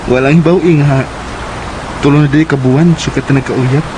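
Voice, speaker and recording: Casting: male actor for earnest, pleading young sweetheart, Cebuano accent, slightly high voice, radio serial with noisy background. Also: steady tone, moderate pace, lightly acted. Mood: tender. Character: earnest young suitor, gentle, lovestruck, pleading. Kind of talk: Cebuano radio drama acting.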